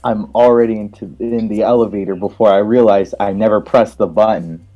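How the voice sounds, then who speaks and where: A man's voice: on the low side, fairly even intonation, fairly quick, dull audio. A man reading first-person story narration from a visual novel in a casual way.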